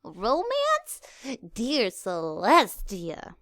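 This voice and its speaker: A woman voicing a plucky female cartoon pony sidekick with a wry, expressive way of talking. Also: on the high side, drawn-out words, no room sound, studio quality, exaggerated voice. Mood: baffled.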